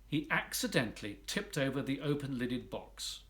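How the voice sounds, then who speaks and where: A man's voice: mid-range voice, expressive, moderate pace, fairly bright tone. A man narrating a children's picture book expressively, as a volunteer storybook reader.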